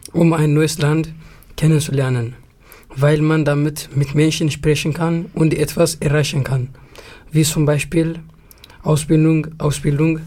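A man whose first language is Tigrinya, answering interview questions in careful, measured, non-native phrasing. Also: on the high side, darkish tone. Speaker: interview guest.

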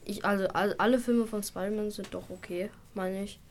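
A woman's voice: mid-range voice, fairly even intonation, a little fast, darkish tone, a little hiss or hum. A teenage girl, a student guest, speaking hesitantly and searching for words.

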